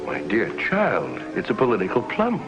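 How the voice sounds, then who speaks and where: A man's voice: lowish voice, wildly swooping pitch, moderate pace. An actor playing a hearty, indulgent middle-aged father and political appointee in a 1950s television western. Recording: dull vintage TV soundtrack with music.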